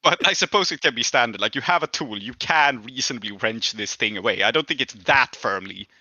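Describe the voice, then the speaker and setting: A man's voice: mid-range voice, lively intonation, fairly quick, bright, forceful tone, no echo, muffled recording. A man running a tabletop game as game master, casually narrating and giving rulings, with short affirmations as he listens.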